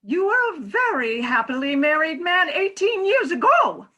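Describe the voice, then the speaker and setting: A woman's voice: very high voice, sing-song, moderate pace, sharp tone, exaggerated voice. A woman playing a frank, middle-aged former nurse, pointed and teasing yet earnest, in a comic stage play reading. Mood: wry, teasing.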